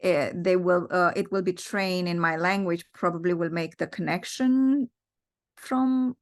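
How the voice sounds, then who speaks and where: Woman participant, Romanian native, halting questions over a call. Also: lowish voice. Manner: hesitant, searching for words. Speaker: training participant.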